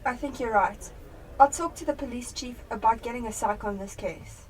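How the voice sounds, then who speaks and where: A young woman acting in a student radio drama as a brisk, cynical police detective. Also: fairly high pitch, a little hiss or hum, close to an everyday voice. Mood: businesslike. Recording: distant from the microphone.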